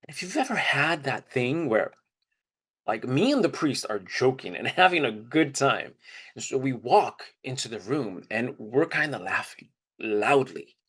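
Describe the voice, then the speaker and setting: A man's voice: mid-range voice, a bit bright, husky. A man delivering an informal, reflective first-person monologue in a conversational storytelling style. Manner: informal, reflective, acts out quoted dialogue. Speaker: performer of a dramatized first-person narrative.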